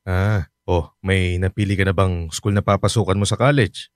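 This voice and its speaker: A man playing a hardworking fifty-year-old taxi-driver father in a radio drama, speaking gently and reassuringly. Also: deep voice, fairly even intonation, normal speed, dry recording, clean studio sound, close to an everyday voice. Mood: caring.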